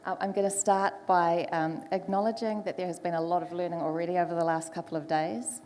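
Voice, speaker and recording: A woman's voice: lowish voice, level tone, brisk, darkish tone. A woman with a New Zealand accent, speaking as a moderator introducing a live panel. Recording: echoey hall, faint background noise.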